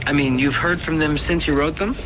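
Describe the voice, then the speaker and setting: An actor playing a wary, dryly affectionate newlywed philosophy professor in an old-time radio drama. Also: male voice, a little high, fairly even intonation, fairly quick, dull audio, close to an everyday voice. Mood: worried, fond. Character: newlywed male philosophy professor, wary, dry, fond worrier. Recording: old radio drama under loud background music.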